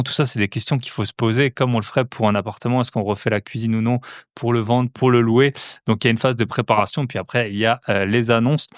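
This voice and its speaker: A young man with a sing-song accent hosts a podcast in a mid-pitched voice. The recording is close and dry, with no room sound. He rambles casually, drops "euh" everywhere, and slides into an eager sales pitch. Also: no room sound. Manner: chatty, many "euh" fillers, sales-pitch enthusiasm. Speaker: podcast host. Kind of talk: casual podcast monologue and promo.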